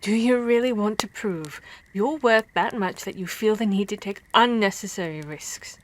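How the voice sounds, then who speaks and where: A woman performs a female vampire hunter for an ASMR roleplay. She is expressive and easily exasperated, stammering as she goes. Her scolding is that of a caring comrade, voiced at a mid female pitch. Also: normal speed, close to an everyday voice. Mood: scolding, worried.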